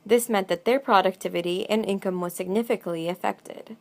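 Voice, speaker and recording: A woman's voice: medium pitch, fairly quick, vocal fry, a little mellow. A woman reading a scripted documentary narration.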